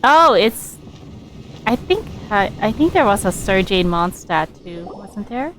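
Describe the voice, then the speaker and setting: Slow-paced woman streaming a game. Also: mid-range voice, sing-song, fairly bright tone, slight background noise, poor audio. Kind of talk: casual game stream commentary.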